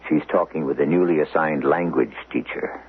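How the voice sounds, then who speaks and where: A man's voice: extremely low pitch, steady tone, normal speed, slightly rough. A man hosting and narrating a 1970s radio mystery, with a portentous, rhetorical scripted delivery. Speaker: radio drama host and narrator. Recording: muffled, humming old radio broadcast.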